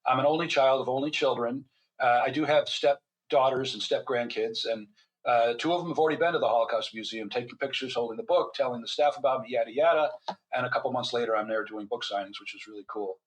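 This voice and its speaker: A man's voice: mid-range voice, quick pace, a little hiss or hum, rough recording. Older man telling stories in an interview. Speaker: guest author and physician. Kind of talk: conversational interview storytelling.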